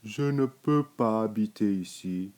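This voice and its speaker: Man reading as a low-voiced, earnest big storybook bear. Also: takes it slowly, darkish tone. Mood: dismayed.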